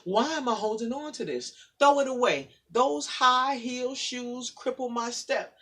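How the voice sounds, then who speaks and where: Woman poet, rhythmic spoken word delivery. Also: on the high side. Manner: soft and halting in conversation, rhythmic and declamatory reading her poem. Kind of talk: spoken word poetry reading in a casual faith conversation.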